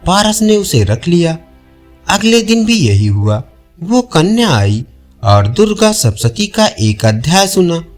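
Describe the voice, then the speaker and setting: Slow, expressive male storyteller. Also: sits high, soft background music. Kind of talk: scripted audio folk-tale narration.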